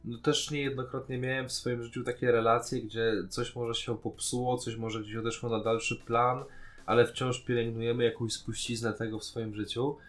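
A man co-hosting a podcast, casually discussing a series in an expressive way, drawing out key words. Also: medium pitch, moderate pace, soft background music. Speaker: podcast co-host. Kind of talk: casual review chat about a TV series.

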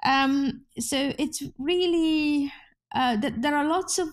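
A woman's voice: high-pitched, slow. Hungarian-accented woman, chatty guest. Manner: conversational, many restarts, frequent laughter. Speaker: guest journalist. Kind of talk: podcast interview.

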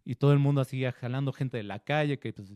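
A man's voice: medium pitch, fast talker, mellow, smooth, no room sound. A man co-hosting a studio show, chatting about a film and acting out small quotes. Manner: conversational, acts out quotes. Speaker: co-host film critic. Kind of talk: casual film review chat.

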